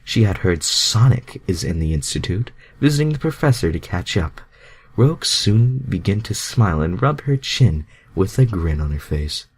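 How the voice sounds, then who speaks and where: A man's voice: low voice, sing-song, normal speed, husky. A man narrating fan fiction casually as an amateur reader, stumbling over words and dropping sweary asides.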